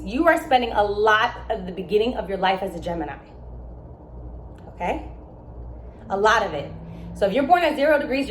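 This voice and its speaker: A young adult woman, born in Germany and raised in America, explaining astrology in a casual, emphatic way with lively asides. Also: a little high, a little hiss or hum. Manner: animated, emphatic, with asides and laughs. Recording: echoey room with faint ambience.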